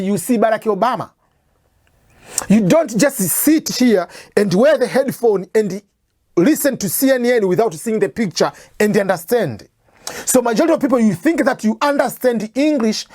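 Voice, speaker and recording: A woman's voice: fairly high pitch, mellow, dry recording, studio quality. A woman from East Africa with an East African accent, a native Swahili speaker, gives an informal lecture on English test preparation and keeps restating her points with examples. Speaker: English test-prep teacher.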